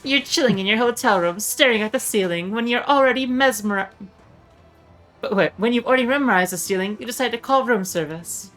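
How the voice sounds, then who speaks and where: A woman's voice: a little high, a little fast, a bit bright. A woman streamer casually reading video game narration aloud. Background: music.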